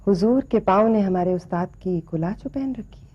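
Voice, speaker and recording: An actress playing a courtly middle-aged courtesan in a screen drama, deferential and slyly flattering in ornate, elaborately polite speech. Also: female voice, medium pitch, moderate pace, breathy edge, natural voice. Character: courtly, flattering, sly courtesan mother quoting poetry. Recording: dull, lo-fi television film sound.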